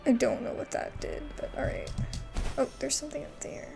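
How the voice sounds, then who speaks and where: A young woman giving casual, slangy, excitable commentary as she plays a video game. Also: sits high, a little fast, vocal fry, music in the background. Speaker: gameplay commentator. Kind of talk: casual gameplay commentary, reacting aloud.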